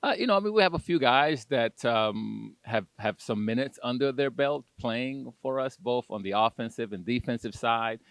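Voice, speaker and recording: Halting middle-aged coach, dry sound. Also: male voice, mid-range voice, expressive, a bit bright, smooth, dry recording. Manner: halting, many fillers and restarts, self-deprecating. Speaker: head basketball coach. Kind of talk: interview answers.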